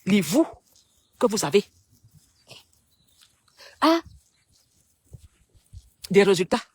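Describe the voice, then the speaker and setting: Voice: mid-range voice, breathy edge, no echo. A speaker from Cameroon delivers a preachy, rhetorical political monologue to the public. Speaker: political commentator.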